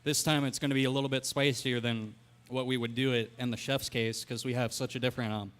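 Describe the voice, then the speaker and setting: Brief: man in a stage cooking demo, relaxed and plain, even delivery, smooth clear voice. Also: mid-range voice, quick pace. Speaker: chef. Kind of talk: live cooking demonstration.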